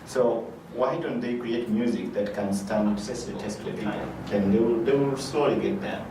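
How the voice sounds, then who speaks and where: Hesitant low-voiced man in an echoey room. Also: moderate pace. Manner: hesitant, many fillers and restarts. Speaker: director of an arts foundation. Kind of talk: interview answers. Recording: echoey room, mic far off, noisy.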